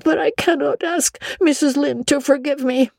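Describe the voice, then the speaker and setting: High, theatrical, stubborn little girl. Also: steady tone, vocal fry, a little mellow, no room sound, studio quality. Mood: defiant, dramatic. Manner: theatrical, halting with stammered repeats. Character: stubborn, dramatic, mournful young orphan girl.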